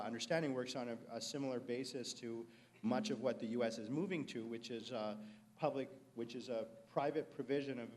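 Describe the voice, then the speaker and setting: A man giving an academic lecture at a conference in a relaxed way, with frequent ums and uhs. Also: mid-range voice. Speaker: guest lecturer.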